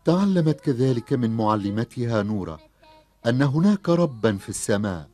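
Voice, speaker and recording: A man's voice: mid-range voice, very animated, moderate pace, dark tone. A male narrator tells a scripted story for a children's cartoon. His voice sits in an average male range.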